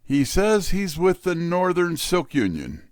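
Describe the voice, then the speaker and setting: A man's voice: high voice, wildly swooping pitch, unhurried, dry recording, studio quality. A man giving a scripted, wry first-person hardboiled detective narration.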